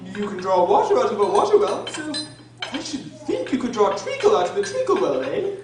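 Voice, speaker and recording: A woman's voice: a little high, wildly swooping pitch, fairly quick. Woman as a wildly swooping, rude mad hatter. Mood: brusque, teasing. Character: the Mad Hatter, rude male tea-party eccentric. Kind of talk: stage radio drama acting. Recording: echoey hall, distant mic, clattering noise.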